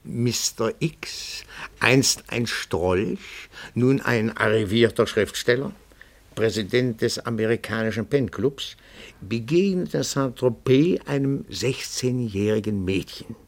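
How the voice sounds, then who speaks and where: An actor playing a timid but dogged petit-bourgeois amateur detective in a radio play, formal, meticulous and deferential, given to rapturous declamation. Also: male voice, mid-range voice, slow. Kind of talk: German radio play acting.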